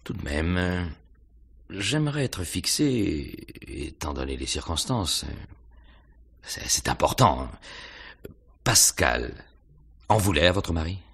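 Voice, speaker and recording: A very low-voiced, rough-edged police inspector in a radio mystery play. He questions methodically and thinks aloud, hesitating and humming as he goes. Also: sing-song, fairly bright tone, exaggerated voice. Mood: insistent. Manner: hesitant, musing questioning with hums and pauses. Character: male police inspector, probing, pensive, methodical. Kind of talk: French radio mystery drama acting.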